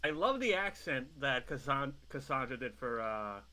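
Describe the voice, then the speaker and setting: A man's voice: medium pitch, big pitch swings, moderate pace, bright, forceful tone. A man co-hosting a fan review show in casual, conversational chat.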